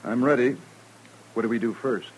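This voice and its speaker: A man's voice: mid-range voice, normal speed, natural voice, poor audio. A man acting in a 1950s radio western as an earnest, self-assured former army officer, eager, insistent and formal in manner. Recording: vintage old-time radio recording.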